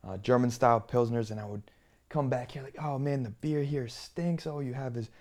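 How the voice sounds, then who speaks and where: Guy from bulgaria just chatting, average pitch, kinda pauses to think as he goes. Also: steady tone, darkish tone. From Bulgaria, later grew up in the US. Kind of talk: casual chat.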